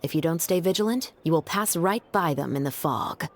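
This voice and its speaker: A woman's voice: deep voice, expressive, moderate pace. A woman playing a composed, dutiful young patrol officer in a video game, speaking formally and earnestly. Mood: thoughtful.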